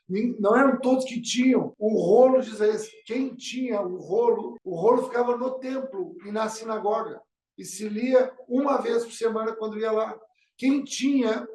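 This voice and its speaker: A man's voice: high-pitched, darkish tone. A man preaching a sermon, full of rhetorical questions and calls of amen. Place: church hall. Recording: echoey church hall.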